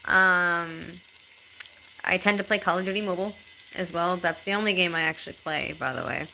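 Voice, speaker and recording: A woman in her thirties with a bright, clear voice, talking casually to viewers on a phone live stream. She chats in a halting, easygoing way, full of ums and restarts, and reads comments aloud from home. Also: fairly low pitch, slight background noise, rough recording. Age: 30s.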